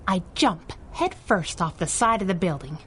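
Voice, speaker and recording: An actress playing a tough, wisecracking young masked crimefighter in a 1930s-style radio adventure, with a cocky, sardonic delivery. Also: female voice, fairly low pitch, expressive, normal speed, breathy edge. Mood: cocky banter.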